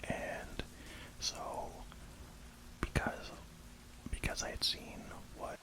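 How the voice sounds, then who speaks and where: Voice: drawn-out words, poor audio. A person whispering an informal ASMR review, rambling along hesitantly with frequent asides. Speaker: ASMR video creator reviewing a film.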